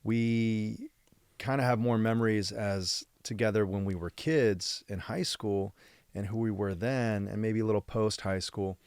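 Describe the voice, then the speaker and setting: A man from San Diego hosts a podcast, his voice sitting on the low side. He rambles reflectively and thinks aloud as he goes. The recording is dry, with no room sound. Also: unhurried, a little mellow, clear, resonant tone, dry recording. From San Diego, United States. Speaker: podcast host. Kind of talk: casual interview conversation.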